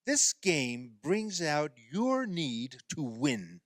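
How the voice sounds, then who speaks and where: A man acts out a self-pitying amateur athlete in a radio drama. His delivery is sarcastic and exasperated, halting and then swinging widely in pitch. The sound is dry, with little room around it. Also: sing-song, dry recording, exaggerated voice. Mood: accusing. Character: self-pitying, sarcastic male amateur basketball player, sore loser. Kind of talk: radio play dialogue.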